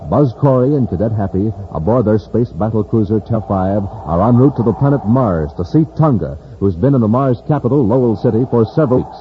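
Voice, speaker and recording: A male radio announcer from the 1950s delivers scripted narration and pitches with theatrical emphasis. His mid-range voice rises and falls dramatically, on a dull vintage recording with faint noise. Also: expressive, brisk, few pauses.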